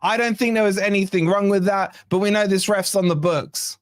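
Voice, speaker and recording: A man hosting a fan channel's live watch-along, hoarse from shouting, giving excited, partisan play-by-play with swearing. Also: sits high, brisk, no echo, clean studio sound. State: hoarse, losing his voice. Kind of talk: excited fan match commentary.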